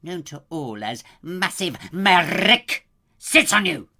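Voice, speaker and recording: An actor playing a pompous, irritable and scheming court lord in a comedy audio drama, with grandiose, haughty diction. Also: male voice, high-pitched, big pitch swings, takes it slowly, gravelly, sharp tone, full character voice. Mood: seething.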